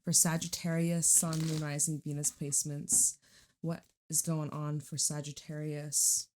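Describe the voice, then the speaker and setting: A woman hosts a casual tarot reading in a somewhat low register. Her voice is raspy and hoarse, as if recovering from being lost. She talks informally and emphatically, circling back to repeat phrases. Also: little pitch movement, measured pace, darkish tone, a little hiss or hum. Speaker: tarot reader and channel host.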